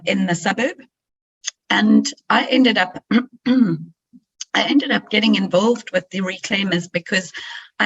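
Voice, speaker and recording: A woman with a croaky, cold-roughened voice, earnestly explaining a community project in a webinar with frequent ums. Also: medium pitch, fairly bright tone. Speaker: resident and community organiser. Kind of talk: webinar presentation. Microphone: video call. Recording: muffled video call audio.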